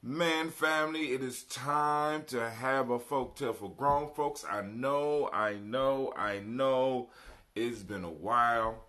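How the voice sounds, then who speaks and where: A man's voice: medium pitch, slow, bright, forceful tone. Casual man hosting live radio. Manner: conversational, folksy, repeats phrases for emphasis, tags sentences with "right?". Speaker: podcast host.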